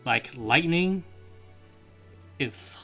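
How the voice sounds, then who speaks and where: A man's voice: a little high, expressive, extremely slow, sharp tone, soft background music. A young man co-hosting a gaming podcast, giving blunt, short opinions in a casual chat. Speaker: podcast co-host.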